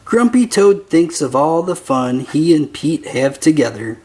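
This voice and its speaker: An adult reading a picture book aloud in an expressive narration style meant for young children. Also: mid-range voice, measured pace. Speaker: children's storybook reader.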